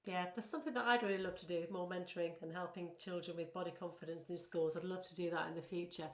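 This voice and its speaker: A woman with a northern English accent hosting a podcast interview, warm and encouraging as she asks questions. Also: lowish voice, steady tone, fast talker. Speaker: podcast host. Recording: roomy echo.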